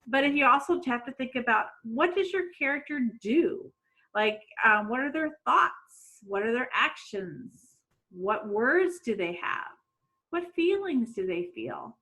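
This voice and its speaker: A middle-aged woman speaks as a teacher to young children at storytime. Her delivery is unhurried and very lively in pitch, and she often turns to her listeners with questions. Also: poor audio. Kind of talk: read-aloud storytime for children. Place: small room at home.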